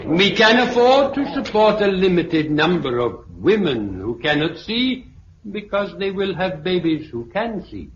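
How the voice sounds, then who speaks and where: An actor playing an elderly British sociology professor, pompous and pedantic, lecturing a meeting in a 1950s radio drama. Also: male voice, high-pitched, big pitch swings. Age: older. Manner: pedantic, deliberate, oratorical. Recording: muffled, noisy, echoey 1950s radio recording.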